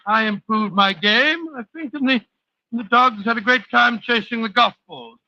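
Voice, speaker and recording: High, bright, blustery British doctor. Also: male voice, normal speed, no room sound, heavily acted. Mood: jovial. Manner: hearty, fussing, chuckling storyteller. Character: genial, blustery older English doctor telling detective tales. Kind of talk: 1940s radio drama acting. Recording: muffled old radio broadcast.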